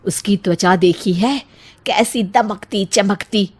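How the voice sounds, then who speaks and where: A woman's voice: mid-range voice, slow pace, a little mellow, breathy edge. A woman voicing a figure-conscious, slightly vain lady of the house in a cartoon story, matter-of-fact in manner. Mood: admiring, wistful. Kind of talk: cartoon family story acting.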